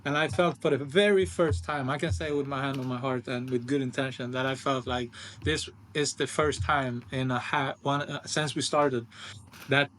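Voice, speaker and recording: A man's voice: mid-range voice, steady tone, moderate pace, a bit bright, a little hiss or hum. A man hosting a casual podcast conversation, speaking earnestly and repeating phrases for emphasis. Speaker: podcast host.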